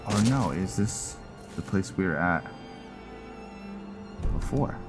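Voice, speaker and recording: A man's voice: sits low, animated, slow, over music. A man giving casual, easygoing gameplay commentary, thinking aloud as he plays. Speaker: gamer narrating playthrough.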